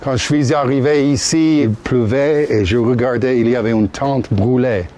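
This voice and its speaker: A man in his sixties from Utah, with an American accent, chatting casually and haltingly in French with English words slipped in, recorded outdoors under a bridge. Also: mid-range voice, fairly even intonation, mellow, slight background noise. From Utah, United States. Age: 60s. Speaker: interviewee. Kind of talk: casual chat.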